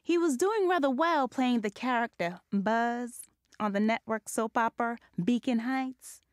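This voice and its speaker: A woman playing the narrator of a ghost story audio drama, telling it in a measured, literate way. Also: a little high, smooth, dry recording, clean studio sound, natural voice. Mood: composed.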